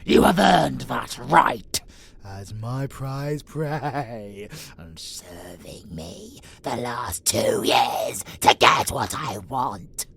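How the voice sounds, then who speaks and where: A man playing a menacing vampire monster in a roleplay audio drama, predatory yet possessive, with a growling, theatrical delivery that swings between breathy whispers and roars. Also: medium pitch, expressive, very slow, a bit bright, heavily acted. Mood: intense encouragement.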